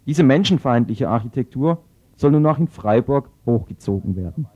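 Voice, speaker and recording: A man's voice: medium pitch, animated, normal speed, dark tone. A man reading a scripted political report as a radio reporter. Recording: dull lo-fi radio recording.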